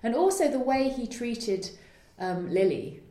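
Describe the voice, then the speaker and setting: A woman's voice: a little high, animated, measured pace, mellow, reverberant. A woman playwright answering interview questions earnestly, searching for her words and laughing lightly now and then.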